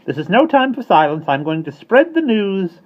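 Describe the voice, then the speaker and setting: A cheeky male starling from a storybook, harsh and croaking, pitched far above a deep voice and swooping loudly up and down. He chatters away with a haughty, wheedling edge, on a dull, lo-fi recording. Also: very animated, moderate pace. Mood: excited.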